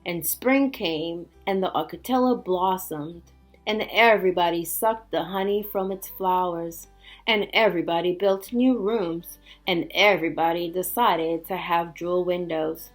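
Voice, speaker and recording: A woman teacher reading a storybook aloud to children, expressive and emphatic, with little chatty asides. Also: on the low side, unhurried, soft background music.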